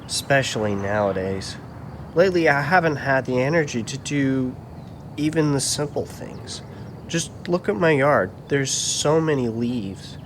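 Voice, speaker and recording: A man voices a weary, good-hearted old carpenter in a puppet play. He speaks gently and gratefully at a middling pitch, over slight background noise. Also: animated, a little slow, natural voice. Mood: wistful, tired. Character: kindly, weary older widower carpenter. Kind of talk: church puppet show dialogue.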